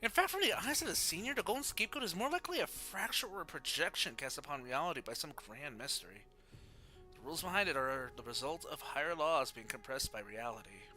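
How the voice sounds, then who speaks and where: A man streaming a video game, talking casually and reading aloud in an animated, playful way. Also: fairly high pitch, quick pace. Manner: animated, playful, sound-effect exclamations. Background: faint game music.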